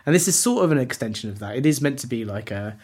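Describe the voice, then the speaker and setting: Man, podcast presenter, opinionated and conversational, average-pitched voice, loose panel chat. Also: lively intonation, fast, darkish tone. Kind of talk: casual panel discussion.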